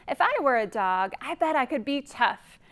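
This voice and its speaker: A woman's voice: high-pitched, lively intonation, moderate pace, a bit bright. A woman voicing wishful storybook farm animals in singsong rhyme as she reads aloud to children. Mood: wistful. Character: wistful storybook farm animals longing to be different.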